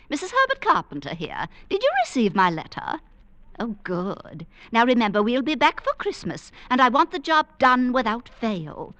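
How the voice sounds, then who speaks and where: A nagging, belittling wife from an old radio thriller. Her voice is forceful and swoops wildly in pitch. She is fussy, brisk and imperious. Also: heavily acted. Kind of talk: old-time radio suspense acting.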